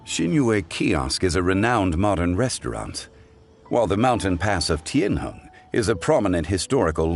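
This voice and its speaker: An actor playing a dignified, learned gentleman judge in a video game, speaking formally and eloquently in measured phrases. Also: deep voice.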